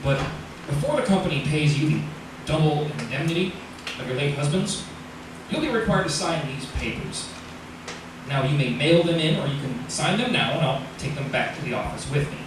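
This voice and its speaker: A courteous insurance agent acted by a man in old-time radio drama, slightly high in pitch, hesitant yet quietly probing. The recording rings with echo and has clear noise. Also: expressive, moderate pace, close to an everyday voice. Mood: polite, hesitant. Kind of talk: 1940s radio acting. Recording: echoey, distant, noisy old radio recording.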